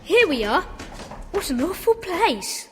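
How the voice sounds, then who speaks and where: A woman's voice: very high-pitched, very animated, takes it slowly, soft background music, full character voice. A woman playing a bold, bossy, eager schoolboy detective in a children's audio drama. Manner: eager, urgent, exclamatory. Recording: long echo of a stone dungeon.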